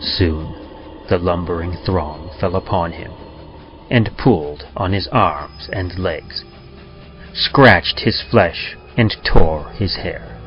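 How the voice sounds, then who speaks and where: Male horror narrator, low and unhurried, suspense-driven delivery, muffled recording. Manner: suspenseful, swells and drops for tension. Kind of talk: dramatic horror story reading. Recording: dull lo-fi recording with music.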